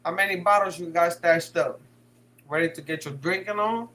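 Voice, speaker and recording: A man's voice: sits high, moderate pace, sharp tone, a little hiss or hum. A man hosting a live stream, casually reading chat comments, slangy and swearing, laughing often. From San Francisco Bay Area.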